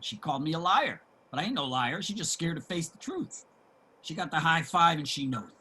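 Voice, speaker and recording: An actor playing a brash, boastful, crude homeless ex-sailor with a rough voice in a play reading. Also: male voice, fairly high pitch, animated, a little fast, pushed and bright, full character voice. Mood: defensive, taunting. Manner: combative, crude street talker. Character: brash, boastful, gossiping homeless ex-merchant-marine man. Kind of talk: theatrical table reading of a stage play.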